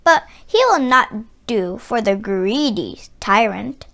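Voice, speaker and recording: A woman's voice: fairly high pitch, lively intonation, slow pace, fairly bright tone, full character voice. A woman voicing a humble, worried poor village man in a storybook, respectful and pleading. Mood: sad.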